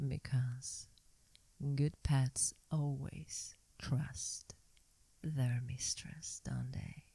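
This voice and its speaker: A dominant vampire mistress, acted by a woman whispers up close in a dry, intimate space. Her voice is dark and breathy, and she draws her words out very slowly. She teases and coaxes like a predator in a hypnotic ASMR roleplay. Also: a bass voice, steady tone, no room sound, heavily acted. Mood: coaxing, seductive. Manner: slow, teasing, coaxing, commanding. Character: seductive, dominant female vampire mistress toying with prey. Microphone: close microphone in a dry space.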